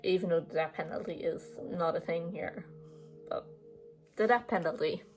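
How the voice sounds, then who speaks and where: Young woman, Irish accent, early twenties, lowish voice, casual blunt chat. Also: moderate pace, soft background music, poor audio. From Ireland. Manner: blunt, chatty, laughs between points. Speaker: vlogger.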